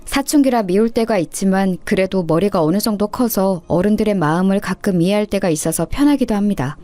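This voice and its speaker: A woman's voice: mid-range voice, a little fast, mellow, dry recording. A woman reading a scripted first-person story as a narrator.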